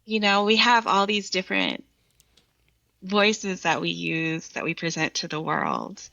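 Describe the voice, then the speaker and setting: Woman, gravelly fry, dry close sound, slightly dull recording, thoughtful literary conversation, articulate explanations. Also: fairly low pitch, animated, a little slow, pushed and bright, dry recording. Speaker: podcast guest, poet and literary scholar. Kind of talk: reflective literary conversation with some poem reading.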